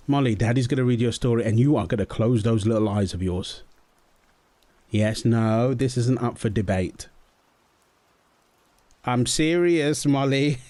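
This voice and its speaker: A man acting a gentle young father at his baby daughter's bedtime, soft, playful and coaxing in an intimate roleplay style. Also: fairly low pitch, natural voice. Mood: tender, amused. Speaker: audio roleplay performer. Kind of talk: intimate comfort roleplay, storybook reading.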